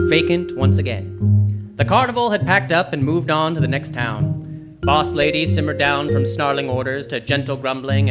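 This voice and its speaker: A man's voice: on the high side, natural voice. A man playing a wry, hardboiled library detective, narrating and acting in a live radio noir spoof. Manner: wry, deadpan hardboiled storytelling. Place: theater stage.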